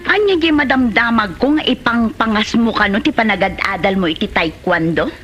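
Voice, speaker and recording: A woman's voice: on the high side, lively intonation, normal speed, a bit bright, soft background music. A woman playing a nosy, teasing villager who exclaims skeptically in a comedy drama, with an Ilocano Filipino accent.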